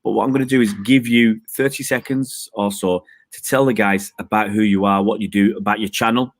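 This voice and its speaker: A male host with a fairly low voice chats loosely, rambling and teasing with plenty of ums. The sound is dry and close, with little room around it. He speaks from a balcony outdoors. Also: fairly even intonation, brisk, dry recording. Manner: chatty, jokey, rambling with many fillers. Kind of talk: casual chat.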